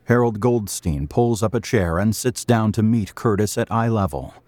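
A man narrating a scripted audio drama as a storyteller, in a deliberate, literary manner. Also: lowish voice, lively intonation, a little mellow, no echo.

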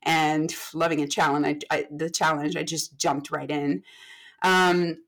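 A woman's voice: low voice, little pitch movement, a little slow, a bit bright. Chatty woman, late thirties. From Canada. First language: French. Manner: chatty, self-deprecating, many fillers and restarts. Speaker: guest, HR expert. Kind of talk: casual podcast chat.

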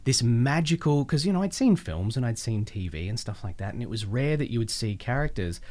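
An Australian man chatting casually as an interview guest, telling personal anecdotes in an animated, chatty way. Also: mid-range voice, a little fast. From Melbourne, Australia.

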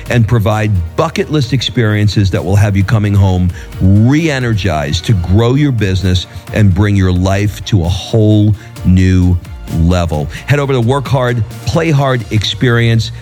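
A man hosting his own podcast and coaching show, reading upbeat promotions and then asking questions in a softer, measured way. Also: on the low side. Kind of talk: scripted show intro, then interview questions.

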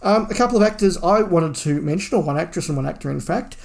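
A man's voice: a little high, animated, quick pace, vocal fry, darkish tone. A man co-hosting a podcast, giving opinionated, emphatic views in a casual review discussion.

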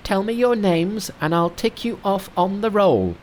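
A man's voice: measured pace, a little hiss or hum. A man voicing a brash, boastful, hot-tempered monkey king in a storybook reading. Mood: commanding. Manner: shrill, excitable, boastful.

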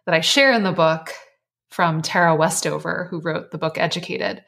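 Woman guest author, slightly hoarse, lower pitch, thoughtful. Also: normal speed, fairly bright tone. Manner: thoughtful, earnest, reflective. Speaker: author and life coach guest. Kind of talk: casual interview chat.

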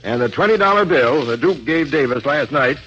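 An actor playing a gruff, skeptical police captain in a 1940s radio drama, speaking bluntly and doubtfully. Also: male voice, on the high side, expressive, a little fast, fairly bright tone. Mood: businesslike. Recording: muffled old radio recording, faint noise.

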